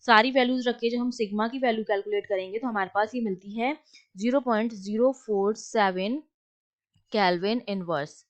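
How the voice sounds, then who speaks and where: A woman's voice: fairly high pitch, moderate pace, highs cut off. A woman with an Indian accent teaching physics, explaining step by step, switching between two languages and posing questions she answers herself. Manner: asks rhetorical questions and answers them herself; mixes Hindi and English. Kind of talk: explanatory lesson, working through a problem step by step.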